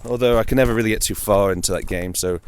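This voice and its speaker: Low-voiced man chatting casually, playful asides. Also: quick pace, clear, resonant tone. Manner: informal, playful, with wry asides. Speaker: host of a gaming audio log. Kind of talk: casual solo chat about video games.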